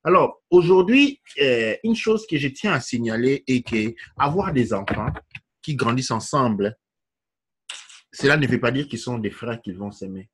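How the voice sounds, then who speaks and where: A man teaches in a didactic lecturing style, hosting the discussion. His voice is husky and breathy. When he gets emphatic his pitch climbs, and he checks in with his listener with a quick "ok?". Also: lively intonation. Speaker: teacher of tradition, host.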